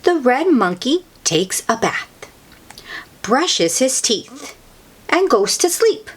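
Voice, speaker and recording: A woman's voice: sits high, very animated, slow pace, breathy edge, voice pushed up, exaggerated voice. A woman voicing an eager, opinionated little girl pig from a storybook, pleading and insistent. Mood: bored, insistent.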